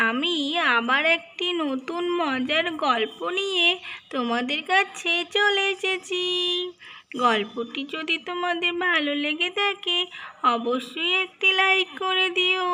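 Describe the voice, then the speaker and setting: A woman's voice: extremely high pitch, pushed and bright. A woman hosting a cartoon story channel, giving a scripted introduction to listeners.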